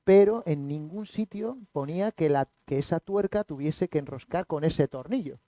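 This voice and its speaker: A man presenting a book event, speaking in a measured, explanatory way. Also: a little high, warm tone, dry recording. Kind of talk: conversational discussion.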